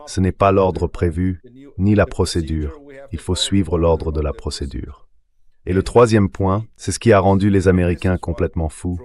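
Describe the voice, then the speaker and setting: A synthetic male voice reads a translated interview as a voice-over. The pitch is fairly low, and the delivery is scripted and evenly phrased. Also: normal speed, a little mellow.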